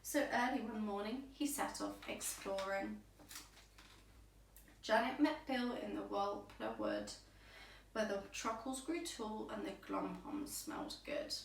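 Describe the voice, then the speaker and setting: A woman storyteller reading a rhyming children's bedtime story aloud with deliberate, expressive phrasing. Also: mid-range voice, slight background noise. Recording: roomy echo, distant microphone.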